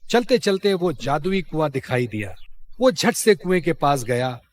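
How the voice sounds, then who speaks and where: A man's voice: on the high side, very animated, measured pace. A man narrating a scripted moral story for children as a storyteller.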